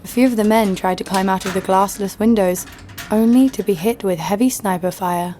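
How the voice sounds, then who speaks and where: A woman's voice: mid-range voice, a little fast, background noise, natural voice. An actress playing a tough, wry female adventurer who narrates her own story in a scripted audio drama. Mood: wry, tense. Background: music.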